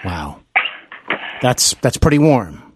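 A man hosting an interview podcast, asking questions conversationally in a deliberate, emphatic way. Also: mid-range voice, big pitch swings, noisy background.

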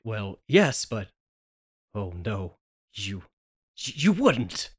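Desperate, betrayed husband for 1930s radio melodrama male actor: overwrought, stammering, theatrical, very animated intonation. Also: on the low side, very slow, slightly rough, exaggerated voice. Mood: stunned. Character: wronged, desperate husband, pleading and melodramatically hysterical. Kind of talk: 1930s radio horror melodrama table read.

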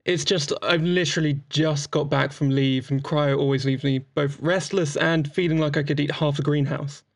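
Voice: mid-range voice, fairly even intonation, normal speed, vocal fry, no room sound, clean studio sound, close to an everyday voice. An actor of androgynous voice playing a sociable, playful doctor in a chatty audio drama, exuberant, informal and teasing. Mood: cheerful. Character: breezy, sociable, playful doctor at a space clinic.

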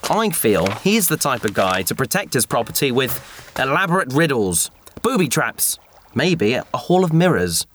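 A man playing an eager, soft-hearted space investigator, earnest and easily flustered, in a sci-fi audio drama. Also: medium pitch, normal speed, slight background noise. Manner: earnest, excitable, stammering when flustered.

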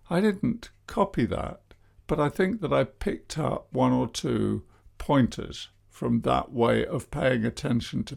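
A man, speaking as a hypnotherapist and public speaker in a measured, self-deprecating monologue about his flat voice. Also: mid-range voice, vocal fry. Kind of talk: reflective monologue.

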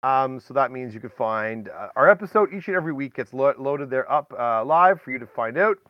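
A man's voice: mid-range voice, expressive, a little fast, dry recording, lo-fi sound. A man hosting a podcast in casual, rambling chat, talking directly to listeners with folksy exclamations. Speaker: podcast host.

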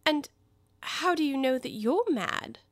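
A woman's voice: high voice, sing-song, normal speed, no echo, pitched above the performer's own voice. Earnest young storybook girl, played by a woman, polite and proper, lilting questions, dry close sound. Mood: polite, curious.

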